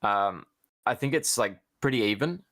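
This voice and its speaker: A man's voice: mid-range voice, lively intonation, moderate pace, a bit bright, no echo, clean studio sound. A young man, a guest player, chatting casually about a match over a video call with lots of fillers. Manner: laid-back, many fillers.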